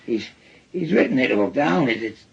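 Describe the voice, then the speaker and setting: An actor playing a bitter, weary, ailing working-class father with a Northern English accent and a husky, halting delivery in a radio drama. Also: mid-range voice, lively intonation, lo-fi sound, heavily acted. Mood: brooding, halting. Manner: halting, repeating himself. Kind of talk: naturalistic British radio drama acting.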